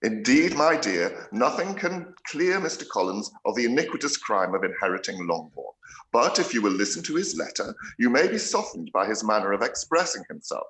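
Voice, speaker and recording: A middle-aged English country gentleman for a period radio play. His delivery is dry and teasing, with formal phrasing and lively swings of pitch. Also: a little hiss or hum, rough recording. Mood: wry amusement. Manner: wry, measured, formal period speech. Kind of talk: live-read radio play of a period novel.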